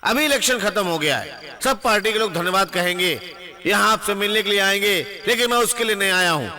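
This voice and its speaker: A glib small-time political orator in a film dub, high-pitched and forceful. He declaims in long wordy runs, like a practised party speaker. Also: brisk, heavily acted. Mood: oratorical.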